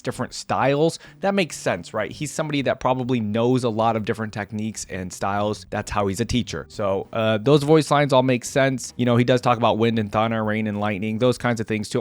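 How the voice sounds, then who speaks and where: Lively casual guy doing reaction commentary. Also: medium pitch. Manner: easygoing, easily amused. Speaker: reaction video host.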